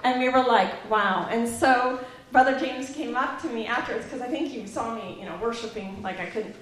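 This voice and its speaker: A woman's voice: on the high side, moderate pace, crowd noise. A woman telling a rambling story at a church gathering, then introducing a guest speaker. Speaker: event organizer introducing a guest. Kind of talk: casual church talk. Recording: very echoey room.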